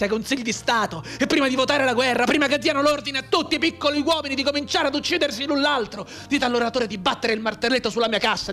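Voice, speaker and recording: Reduce amareggiato, voce acutissima. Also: male voice, very high-pitched, bright, forceful tone, heavily acted. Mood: impassioned, anguished. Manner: declamatory, sardonic, impassioned. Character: bitter, maimed young war veteran, anguished and sardonic. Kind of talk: dramatic radio theatre monologue. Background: music under the voice.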